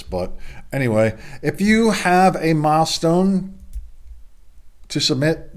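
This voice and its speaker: A middle-aged man with a hoarse voice, hosting a casual sports roundup from a home studio, with rambling, self-deprecating asides. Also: mid-range voice, darkish tone. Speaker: sports podcast host.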